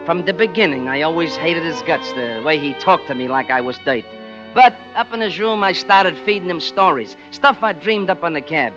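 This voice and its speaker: Bitter, wisecracking New working stiff played by a male actor, higher-pitched voice, scheming and slangy, old radio thriller with music behind. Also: animated, normal speed, highs cut off, close to an everyday voice. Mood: resentful. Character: bitter, scheming working-class former cab driver turned gag writer. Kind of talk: 1940s radio drama acting, first-person narration and dialogue.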